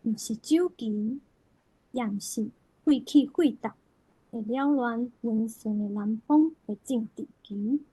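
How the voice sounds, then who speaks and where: A woman with a Taiwanese accent giving an expressive literary recitation. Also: on the high side, takes it slowly, breathy edge, dry recording, poor audio. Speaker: poetry reader.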